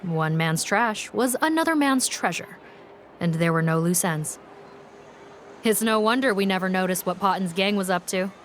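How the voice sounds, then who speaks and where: Woman playing a composed, formal policewoman, mid-pitched. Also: animated, moderate pace, slight background noise, lightly acted. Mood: matter-of-fact. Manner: formal, composed, matter-of-fact. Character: adult female police officer, composed, formal and resolute. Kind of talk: video game voice acting. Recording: music playing behind the voice.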